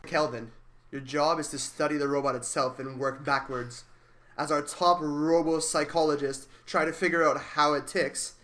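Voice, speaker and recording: A man's voice: on the high side, steady tone, moderate pace, bright, forceful tone. A man acting as a stern, demanding research director near seventy in a radio play, with a commanding, clipped manner. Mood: brisk, commanding.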